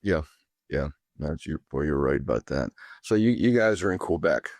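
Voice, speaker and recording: Deep-voiced male podcast host. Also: lively intonation, moderate pace, darkish tone, no echo. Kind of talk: casual interview talk.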